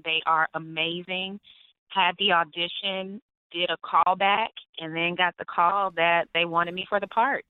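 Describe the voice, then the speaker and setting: A woman's voice: lowish voice, a little slow, clear, resonant tone, no echo. Woman telling stories over the phone. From Atlanta, Georgia. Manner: lively storytelling, laughs often. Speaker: actress, interview guest. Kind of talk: interview on the phone. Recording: tinny phone line, dry.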